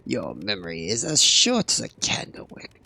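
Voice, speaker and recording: Man putting on a sardonic veteran bank teller, hoarse, gravelly, lowish pitch, swooping theatrical delivery. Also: huge pitch swings, moderate pace, sharp tone, full character voice. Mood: mocking. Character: mocking veteran male bank teller mentor. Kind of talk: video game character voice.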